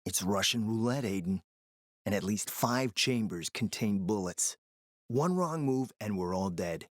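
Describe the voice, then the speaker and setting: A shrewd, foul-mouthed operator in a ruined-city video game. His delivery is lively and swings in pitch, conspiratorial and emphatic with a sardonic edge. The sound is dry and studio-tight. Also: unhurried, dry recording. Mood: grave warning. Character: scheming, foul-mouthed post-apocalyptic city operator, confident and calculating. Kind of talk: dramatic video game dialogue.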